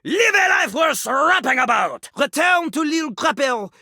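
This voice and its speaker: A man's voice: very high voice, big pitch swings, a little slow, pushed and bright, dry recording, studio quality, full character voice. A man voicing a vain, boastful French rapper toilet in a video game, with a French accent and a pompous, theatrical way of talking about himself in the third person. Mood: haughty, challenging. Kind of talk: video game character acting, bursts of rap.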